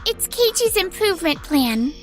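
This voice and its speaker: An actress plays an earnest young woman from an anime office romance. Her voice is extremely high-pitched and she speaks up in a pointed, insistent, expressive way, with soft music underneath. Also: normal speed. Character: earnest, outspoken young female office worker, Yui. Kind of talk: anime-style manga dub acting.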